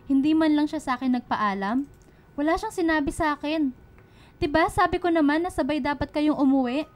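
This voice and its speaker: A woman's voice: high voice, brisk, close to an everyday voice. A Filipino woman playing a worried, caring middle-aged single mother in a radio drama. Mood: worried scolding. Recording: music behind the dialogue.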